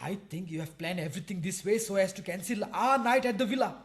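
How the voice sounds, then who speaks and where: A man's voice: high-pitched, animated, fast talker. Jealous male lover played by an actor, radio farce: sarcastic, indignant, easily flustered, big on drama. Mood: accusing. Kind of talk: farcical radio play acting.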